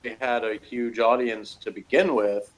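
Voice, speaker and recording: A man's voice: mid-range voice, fairly even intonation, measured pace, rough recording. A man talking casually in a loose, rambling discussion, feeling his way through his points hesitantly. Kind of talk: casual discussion of TV and personality types.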